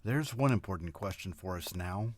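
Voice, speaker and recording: An actor playing a polite, inquisitive scientist at an institute in a pulp audio drama. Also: male voice, deep voice, lively intonation, normal speed, a little mellow, slight background noise. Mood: earnest, curious.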